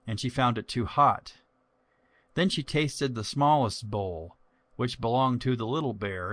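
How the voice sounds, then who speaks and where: A man narrating a children's story from a script in a measured, expressive way. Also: mid-range voice.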